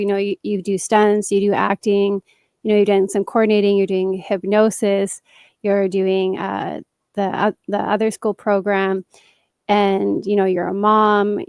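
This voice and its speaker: A woman's voice: medium pitch, a little mellow, dry recording, studio quality. A woman hosting a podcast interview, asking long rambling questions full of fillers. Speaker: podcast host. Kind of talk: casual interview chat.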